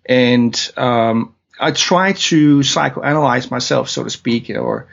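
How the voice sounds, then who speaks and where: Danish-accented man, gravelly voice. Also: medium pitch, big pitch swings, slow pace. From Denmark. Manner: hesitant, many fillers and restarts. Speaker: guest. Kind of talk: interview. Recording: dull lo-fi audio.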